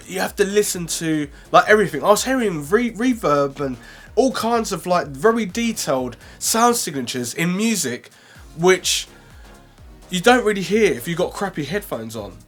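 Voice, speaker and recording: Young man, reviewer, slightly high voice, rambling and loose, faint music under him. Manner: rambling, self-correcting, lots of fillers. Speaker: product reviewer. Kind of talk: casual unscripted review.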